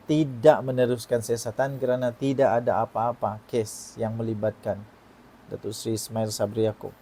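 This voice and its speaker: A man's voice: medium pitch, warm tone. A man in his forties with a Malaysian Malay accent, giving online political commentary and putting questions to his viewers. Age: 40s. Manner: emphatic, poses rhetorical questions to viewers.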